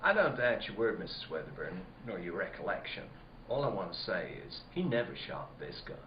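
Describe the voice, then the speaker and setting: An actor playing a middle-aged rural sheriff in a radio play, speaking a folksy rustic dialect in a deliberate, roundabout way. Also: male voice, fairly low pitch, sing-song. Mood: insinuating. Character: slow-talking rural sheriff, folksy, probing and insinuating. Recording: dull, roomy, faint background noise.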